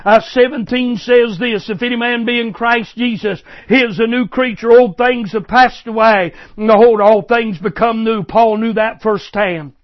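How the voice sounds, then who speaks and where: A man's voice: very high voice, fairly even intonation, moderate pace, few pauses. An older preacher delivers a fervent revival sermon. He repeats his points and keeps calling out to sir and ma'am in the crowd. The recording is muffled and lo-fi. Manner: impassioned, repetitive, directly addressing listeners as sir and ma'am.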